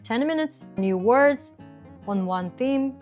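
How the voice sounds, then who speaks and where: A woman's voice: on the high side, lively intonation, slow pace, darkish tone, muffled recording. Young woman, english speaker from kazakhstan, upbeat and chatty, list-like delivery, faint guitar music underneath. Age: 20s. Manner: upbeat, lists steps, fillers like "I don't know". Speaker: language vlogger. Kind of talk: casual spoken guide to learning a language.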